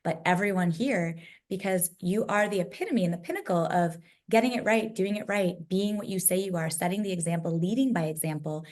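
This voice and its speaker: A woman with a low voice, moderating a virtual panel discussion over a video call, emphatic and fond of building lists. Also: a little fast, hardly any pauses, darkish tone. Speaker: summit moderator.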